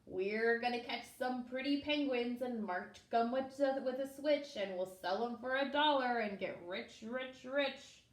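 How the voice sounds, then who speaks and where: A woman voicing rough, tough, growly male hunters in a children's storybook with a menacing, rhythmic delivery. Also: a little high, a little slow, few pauses, natural voice. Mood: menacing chant. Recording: echoey room.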